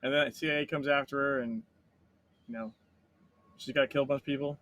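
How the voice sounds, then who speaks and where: A man co-hosting a casual chat, telling stories in a rambling, animated way and swearing freely. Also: mid-range voice, brisk. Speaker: podcast co-host.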